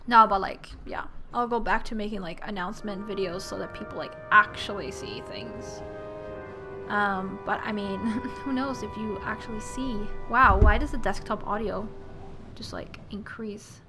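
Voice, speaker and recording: A woman's voice: mid-range voice, moderate pace, music in the background. A woman streaming a game, chatting casually, giggling and reading the game's text aloud with asides. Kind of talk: casual live-stream chat while playing a game.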